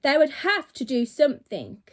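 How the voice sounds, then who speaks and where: A woman's voice: high-pitched, sing-song, moderate pace. A woman reading a children's story aloud like a teacher to her pupils, expressively and with dramatic emphasis on key words.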